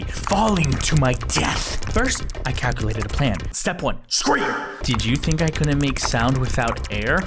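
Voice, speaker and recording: A performer voicing a flippant, deadpan slime god who drifts through space, narrating an audio drama in the first person in a conversational, boastful way. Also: medium pitch, close to an everyday voice. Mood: dramatic, animated. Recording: music bed under the voice.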